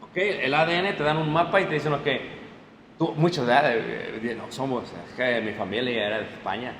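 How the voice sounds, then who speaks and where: A man preaching a lively sermon to his congregation, often stopping to check they follow. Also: mid-range voice, moderate pace. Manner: animated, addresses the congregation directly with frequent checks. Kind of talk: sermon, Bible class. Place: church hall. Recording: echoey hall, faint room noise.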